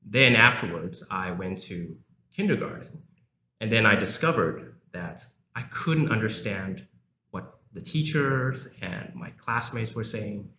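Relaxed South African-raised man with low voice. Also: unhurried. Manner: frequent fillers, repeats words for emphasis. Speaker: guest, university English lecturer. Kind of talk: casual interview talk. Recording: echoey room, faint background noise.

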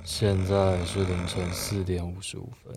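An actor playing a sensitive, unsettled man around forty in a radio drama, speaking soft, introspective Taiwanese Mandarin. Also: low-pitched, completely flat delivery, moderate pace, heavy background noise. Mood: wistful, subdued. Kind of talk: radio drama narration and dialogue. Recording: roomy echo, music behind.

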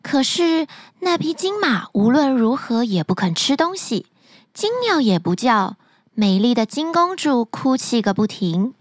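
A woman narrating a fairy tale as a bedtime story, gently and expressively, close to the mic. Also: fairly high pitch, very animated, unhurried, dry recording.

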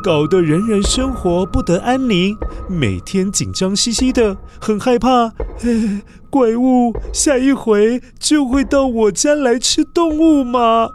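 A man's voice: very high voice, slow pace, few pauses, darkish tone, soft background music. A man with a Taiwanese Mandarin accent narrating a children's story expressively and dramatically, putting questions to his young listeners.

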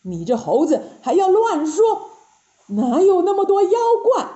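A woman's voice: sits high, moderate pace, creaky, warm tone. A woman voicing a stern, fretful monk master who scolds his disciple with exclamations in a children's story. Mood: angry. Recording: roomy, dull lo-fi sound.

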